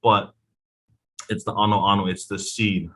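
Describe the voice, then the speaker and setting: Low-voiced man, teacher on a panel, easygoing and thoughtful. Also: normal speed, slightly rough. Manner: reflective, relaxed, many fillers. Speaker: Hawaiian language teacher. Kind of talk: roundtable guest sharing.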